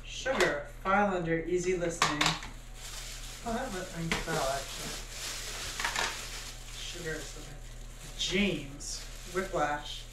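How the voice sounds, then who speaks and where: A woman, a vlog host, chatting casually and reading titles out loud. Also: sits low, unhurried, long pauses. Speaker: host, vintage reseller. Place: cluttered room indoors at home. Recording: echoey room, distant mic, faint noise.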